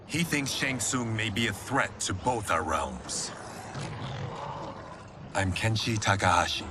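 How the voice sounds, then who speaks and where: A man's voice: lowish voice, expressive, noisy background. An actor playing an earnest, level-headed swordsman, speaking seriously in a video game cutscene. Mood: urgent, reasoning. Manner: measured, serious, sincere.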